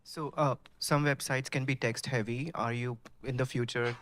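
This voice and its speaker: Flat-voiced man asking from off-mic. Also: mid-range voice, normal speed, slight background noise. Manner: asks a hesitant, searching question. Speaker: audience questioner.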